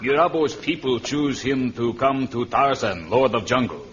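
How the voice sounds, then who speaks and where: A man playing a solemn African warrior who speaks halting broken English in an old radio drama. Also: mid-range voice, a bit bright, natural voice. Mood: solemn, pleading. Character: tall, solemn African warrior, stranger seeking help. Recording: noisy, muffled vintage radio recording.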